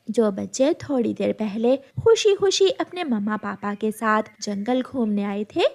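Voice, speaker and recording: Slow, high-voiced woman telling a children's story. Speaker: storyteller narrator. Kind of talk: scripted children's cartoon story narration.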